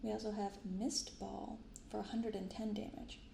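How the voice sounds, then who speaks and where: A soft-spoken woman hosting an unhurried ASMR-style unboxing, recorded in an untreated apartment room. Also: mid-range voice, flat delivery. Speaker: host of a card unboxing channel. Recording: roomy untreated apartment, clear background noise.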